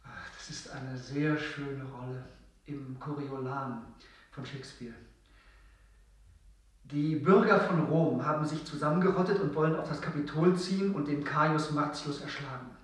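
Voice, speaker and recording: A man's voice: fairly high pitch, unhurried, lightly acted. A man acting in a radio play as a nervous, eager, self-absorbed actor, hesitant and apologetic. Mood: sheepish, explaining. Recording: very echoey, distant from mic.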